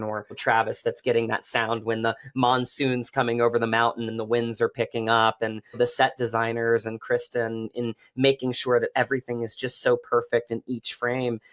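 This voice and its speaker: A man with a medium-pitched voice speaks as a guest in a relaxed interview format. His way of talking is earnest and effusive, like an actor speaking sincerely about the craft. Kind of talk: conversational interview.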